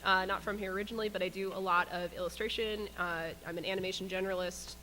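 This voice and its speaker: A woman's voice: medium pitch, steady tone, fast, pushed and bright, a little hiss or hum, low-quality recording. A woman from Michigan, a freelance artist getting over a cold, chatting casually as she introduces herself on stage. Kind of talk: casual self-introduction at a panel. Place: meetup event stage.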